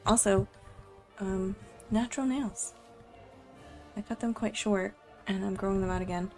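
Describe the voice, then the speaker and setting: A woman's voice: medium pitch, steady tone, a little slow, a little mellow, soft background music. A young woman chatting casually during a live art stream at home, rambling and self-deprecating as she sets up. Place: home room in Japan.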